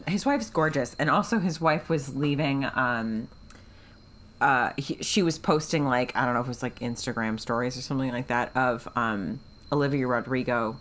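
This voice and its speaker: A woman's voice: rumbling low, normal speed, fairly bright tone, slight background noise. A woman co-hosting a book podcast, talking casually in an emphatic, gushing, sweary way. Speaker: book club podcast co-host.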